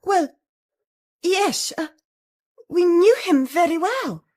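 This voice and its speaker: An anxious, evasive wife in a radio play. Her voice is high and very changeable in pitch, polite but hesitant and flustered as she hides her worry. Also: animated, slow, darkish tone, heavily acted. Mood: anxious, shaken. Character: nervous, evasive married lady hiding her worry. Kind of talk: radio drama acting.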